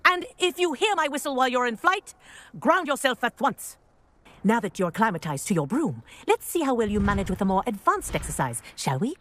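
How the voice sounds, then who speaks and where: A woman playing a strict, brisk wizard-school flying instructor in a video game, firm and formal like a schoolteacher. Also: a little high, sing-song. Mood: brisk, instructive. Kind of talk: video game character acting.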